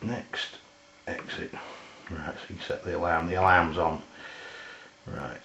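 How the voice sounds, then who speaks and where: Deep-voiced, halting English man. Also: steady tone, bright, forceful tone, slight background noise. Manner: halting, hesitant, pausing mid-sentence. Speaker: product reviewer. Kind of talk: informal hands-on demonstration, unscripted. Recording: roomy, dull lo-fi camera sound.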